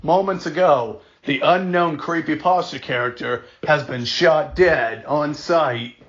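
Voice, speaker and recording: A man playing a formal, grave emergency alert announcer, reading scripted warnings in a deliberate, measured way. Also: on the high side, a bit bright. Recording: dull lo-fi audio.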